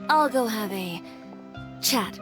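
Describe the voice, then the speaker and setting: An actress playing a blunt, defiant, sharp-tongued woman in a video game, speaking in a curt, cutting way. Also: fairly high pitch, lively intonation, takes it slowly, faint music behind. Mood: cool, defiant. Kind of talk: video game character acting.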